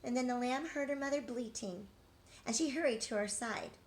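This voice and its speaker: A woman's voice: on the high side, moderate pace. A middle-aged woman teacher reading a picture book aloud to young children, expressively, with questions to her listeners. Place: living room couch at home.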